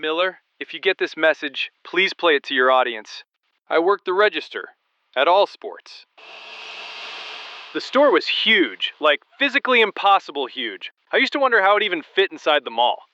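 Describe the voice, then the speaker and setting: An actor playing a desperate store clerk who is trapped and unravelling, leaving an anxious, emotional voice message in an audio drama. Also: male voice, fairly high pitch, sing-song, normal speed, pushed and bright. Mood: uneasy, venting. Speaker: store cashier. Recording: muffled lo-fi voice message.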